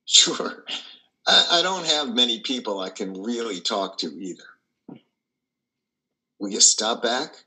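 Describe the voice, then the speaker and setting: An older man reads the part of a reclusive, kindly priest in a play. His voice is medium-pitched and strikingly bright. He speaks with gentle, hesitant encouragement. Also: lively intonation, unhurried, pauses often, heavily acted, low-quality recording. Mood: warmly inviting. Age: older, 60s or over. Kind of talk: play reading over video call.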